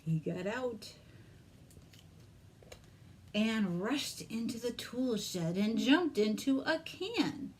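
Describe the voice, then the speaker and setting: A woman's voice: fairly high pitch, animated, slow pace, long pauses, slight background noise. A woman hosting a children's storytime as a librarian, reading expressively and addressing young listeners with questions and exclamations.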